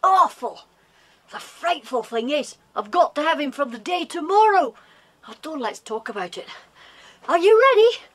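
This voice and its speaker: A woman's voice: high voice, animated, moderate pace, fairly bright tone. A woman playing a friendly, curious English boy in a children's audio drama. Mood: hushed, eager.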